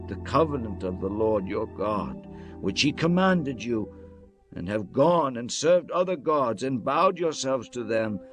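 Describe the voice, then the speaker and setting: An actor playing an old, solemn Hebrew leader exhorting his people in a grand, declamatory scripture dramatization. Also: on the low side. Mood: grave warning. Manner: solemn, declamatory, emphatic swells and hushed drops. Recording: music under the voice throughout.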